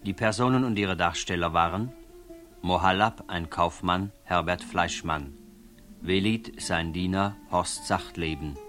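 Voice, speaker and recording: A man reading formal closing credits as a radio announcer, in a measured manner. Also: low voice, fairly bright tone. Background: faint plucked guitar music.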